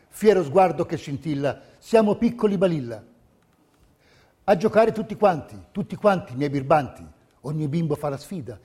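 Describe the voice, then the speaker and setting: A man acts out a proud little boy of the fascist youth reciting his poem on stage. The pitch is lifted and the delivery is eager, sing-song and declamatory, bouncing along on the rhymes. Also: warm tone, slightly rough. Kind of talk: stage recitation of a child's verse.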